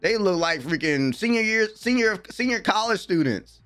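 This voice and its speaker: A young adult man giving excited, slangy live reaction commentary as a host, full of hyped exclamations. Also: high-pitched, moderate pace, soft background music. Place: small room at home, indoors. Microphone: desk microphone, close.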